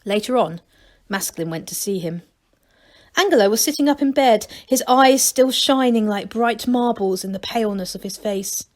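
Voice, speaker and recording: Fairly high-voiced woman reading a story. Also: normal speed, darkish tone. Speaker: story reader for a school. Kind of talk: expressive storybook narration.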